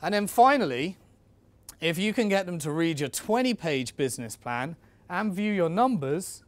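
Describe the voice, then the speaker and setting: A man presents in an informal, instructional style, talking straight to his listeners like a coach. His voice sits a little higher than average for a man. The sound is dry, with very little room around it. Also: big pitch swings, moderate pace, no room sound. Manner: conversational, coaching, addresses listeners directly. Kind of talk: informal instructional talk on raising investment.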